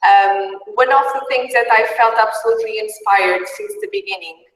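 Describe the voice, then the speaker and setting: A woman's voice: fairly high pitch, lively intonation, moderate pace, echoey room, in a large hall, distant mic, poor audio. A woman hosting an interview over a call, friendly and laughing often, speaking English with some hesitation. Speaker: interview host. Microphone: video call.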